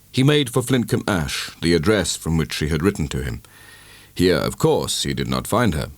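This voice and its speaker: Male audiobook narrator, deep and dry-recorded, for dramatic, measured storytelling of literary fiction. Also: no room sound.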